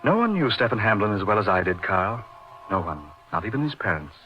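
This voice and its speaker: An actor playing an intense middle-aged surgeon in an old-time radio horror drama, recounting his uncanny friend with deliberate, emphatic repetition. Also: fairly low pitch, sing-song. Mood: mysterious, brooding. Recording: dull lo-fi radio recording, music behind.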